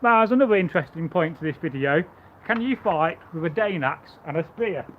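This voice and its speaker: A man with a loud voice, pitched a little high, talks his way through a live stream about Viking gear. He rambles and restarts his sentences. The audio is thin and phone-like, with faint hiss behind it. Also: expressive, brisk. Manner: rambling, chatty, stammering restarts. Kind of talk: casual live stream chat and demonstration.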